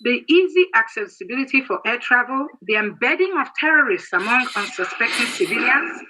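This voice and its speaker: A man in his sixties from Nigeria giving an earnest, emphatic prepared speech as a guest, halting now and then. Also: very high-pitched, animated, noisy background. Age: 60s. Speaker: guest speaker.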